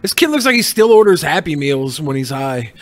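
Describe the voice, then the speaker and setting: A man with a high-pitched voice co-hosts a casual show. He talks in profane comedic banter, crude and excitable, always joking around. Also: fairly quick. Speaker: show co-host.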